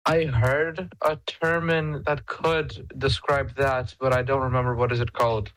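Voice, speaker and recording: A man's voice: mid-range voice, flat delivery, a bit bright, poor audio. A young man from Lithuania with a Lithuanian accent, chatting casually and often repeating words as he hunts for the right phrase. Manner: halting, repeats words while searching for phrasing. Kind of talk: casual chat on a voice call.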